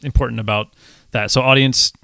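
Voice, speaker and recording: A man co-hosting a podcast in casual chat, earnest and halting as he thinks aloud. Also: mid-range voice, fairly even intonation, vocal fry, pushed and bright, clean tone, no echo. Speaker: podcast co-host.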